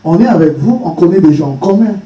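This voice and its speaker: A man's voice: high-pitched, lively intonation, fast, mellow, muffled recording. A man speaking at a conference, answering a question earnestly, repeating his points and giving direct examples. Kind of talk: answering questions at a public talk. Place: conference hall. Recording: echoey hall, speaker far from mic.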